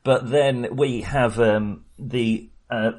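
Husky, rambling man. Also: mid-range voice, steady tone, takes it slowly, a little mellow. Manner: rambling, hesitant, chuckles often. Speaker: podcast host. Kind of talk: casual film discussion.

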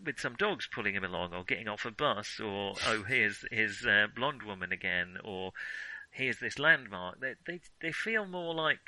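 A man's voice: fairly low pitch, expressive, moderate pace, pushed and bright, no echo, rough recording. A man co-hosting a casual film discussion, opinionated but hesitant, often reworking his thought as he goes. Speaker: film podcast co-host.

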